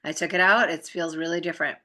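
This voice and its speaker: A woman's voice: lowish voice, fairly even intonation, fast, pushed and bright. A woman chatting casually and informally, explaining things as a guest in a conversation. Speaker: talk guest. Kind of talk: casual chat, explaining energy practices.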